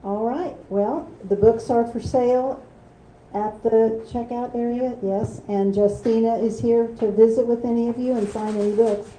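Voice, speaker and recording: Mellow-voiced woman moderating a book talk. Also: fairly high pitch, fairly even intonation, normal speed, reverberant, slight background noise, low-quality recording. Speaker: event interviewer. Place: bookstore event space.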